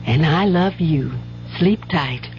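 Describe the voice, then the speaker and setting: A woman's voice: low-pitched, slow. An actress playing an anxious, doting rural mother in a 1970s radio drama, with folksy, tender speech. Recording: muffled old radio broadcast, music bed.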